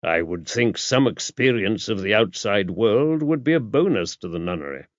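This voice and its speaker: A man voicing a mild, courteous priest in an audiobook, measured and wry. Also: lowish voice, lively intonation, dry recording, natural voice. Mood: wry, probing. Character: mild, courteous male priest, a former spy, wryly inquisitive. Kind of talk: audiobook character dialogue. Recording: dull, muffled lo-fi audio.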